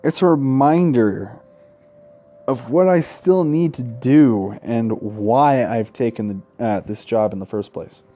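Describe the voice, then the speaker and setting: A young man narrates a Let's Play in a casual, unpolished way. His voice is warm and mellow at an average male pitch. He speaks haltingly and trips over words now and then. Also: dull audio. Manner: halting, stumbles over words while reading. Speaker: gaming video host.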